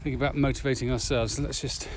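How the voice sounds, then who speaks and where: A man's voice: medium pitch, steady tone, fast. A man co-hosting a casual podcast, talking conversationally while out walking. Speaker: podcast co-host. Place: outdoors, on a village walk. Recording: noisy outdoor recording with ambient sound.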